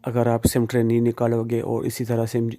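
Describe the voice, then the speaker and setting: A man's voice: medium pitch, completely flat delivery, a little fast, mellow. A man giving plain, informal step-by-step how-to instructions, speaking directly to the viewer. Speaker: phone repair tutorial presenter.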